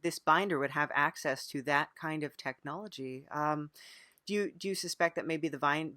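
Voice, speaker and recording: A podcast interviewer with a mid-pitched voice, recorded close and dry. The questions come earnestly and haltingly, with murmured acknowledgements, as part of an improvised mock interview. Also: pushed and bright, no room sound.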